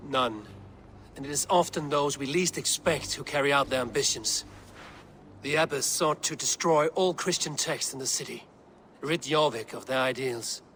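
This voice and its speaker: An actor as a grim Viking assassin from a video game. He is terse and brooding, at a middling pitch, with clear ambient noise behind him. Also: normal speed, fairly bright tone, close to an everyday voice. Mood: sober. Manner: low-key, terse, brooding. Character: grim, stoic Viking assassin, adult man. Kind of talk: video game dramatic acting.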